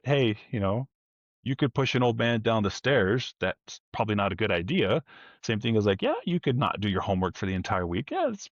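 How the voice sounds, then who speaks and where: A man with a deep voice, talking candidly in a rambling way, often correcting himself and joking. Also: no echo, dull audio. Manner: rambling, self-correcting, uses humor; louder, forceful speaking tone. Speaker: podcast guest. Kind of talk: candid conversational talk.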